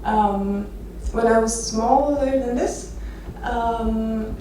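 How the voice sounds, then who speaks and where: A woman in her thirties from Romania gives an informal talk as a photographer, telling personal stories with hesitant, halting phrasing. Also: on the high side, warm tone. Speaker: architecture photographer giving a conference talk. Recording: echoey noisy venue, distant microphone.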